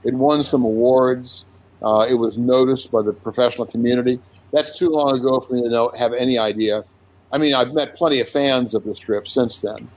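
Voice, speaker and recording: An older man in his seventies, a comic artist recalling his early career in a relaxed interview, unhurried with frequent restarts. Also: mid-range voice, poor audio. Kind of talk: casual conversational interview.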